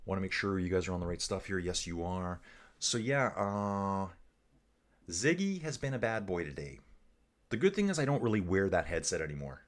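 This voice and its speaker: A man giving relaxed livestream cycling commentary, reading viewers' chat aloud and calling them guys and dude. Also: deep voice, normal speed. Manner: chatty, says "guys" and "dude" often. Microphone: earbuds.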